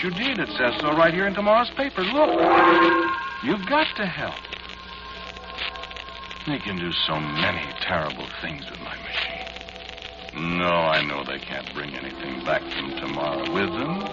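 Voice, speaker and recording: A man acting a doomed inventor in an old radio horror drama, speaking to the listener in an intimate, pleading, halting way. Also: low voice, heavily acted. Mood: haunted, regretful. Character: doomed male inventor of a time machine, haunted, pleading. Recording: muffled old radio recording with music.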